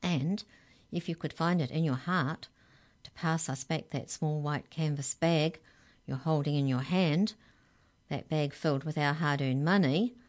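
A woman's voice: sits low, measured pace. Woman as male giant, crafty, cautious, wheedling, storybook villain. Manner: cautious, self-justifying, wheedling. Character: wheedling male giant pie maker, a cheat.

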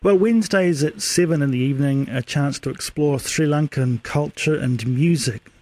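A man interviewing a guest on community radio, asking friendly, measured questions. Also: on the high side, a little mellow, no room sound.

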